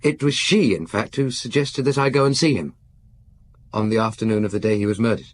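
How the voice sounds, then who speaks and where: A man's voice: on the low side, fairly quick, natural voice. A man playing an earnest, nervous young aristocrat in a radio drama, speaking politely and confidingly with hesitant restarts. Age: young adult.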